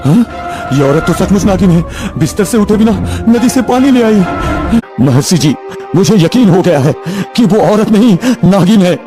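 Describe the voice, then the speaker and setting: A man's voice: high-pitched, expressive, moderate pace, music in the background. Man acting an anxious, pleading storybook king. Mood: alarmed, pleading. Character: ailing, anxious king of a fairy-tale kingdom. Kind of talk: Hindi animated bedtime story acting.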